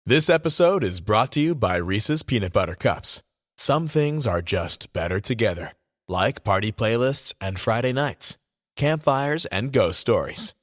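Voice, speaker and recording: A man's voice: fairly low pitch, lively intonation, a little slow, fairly bright tone, no echo. Man reading an ad, very dry sound. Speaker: advertisement announcer. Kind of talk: scripted ad read.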